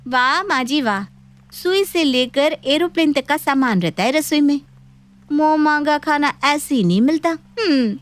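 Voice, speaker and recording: A sassy young daughter-in-law from a cartoon tale , as a woman narrator puts her on. The voice sits high, that of an overworked home cook who answers back. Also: animated, unhurried. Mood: sarcastic.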